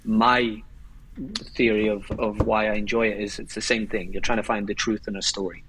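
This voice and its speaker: A man from Glasgow with a Scottish accent, chatting as a guest about his career in a relaxed, rambling way. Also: on the low side, normal speed, noisy background. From Scotland. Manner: relaxed, rambling, with restarts and laughs. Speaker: guest filmmaker. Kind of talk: casual podcast chat.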